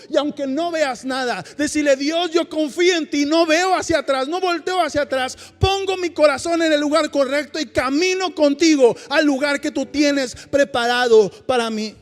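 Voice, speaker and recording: A man's voice: extremely high pitch, moderate pace, few pauses, long reverb tail, faint music behind. A man, preaching a sermon and leading an emphatic prayer at a church service. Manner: fervent, emphatic preaching with repeated calls of "Señor". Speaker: pastor.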